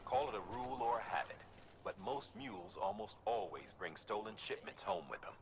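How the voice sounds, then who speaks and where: An actor playing a steady, practical operations man in a video game, giving scripted instructions in a matter-of-fact way. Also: lowish voice, moderate pace, natural voice. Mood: cautionary. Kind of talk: scripted mission briefing. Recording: clear background noise and ambience.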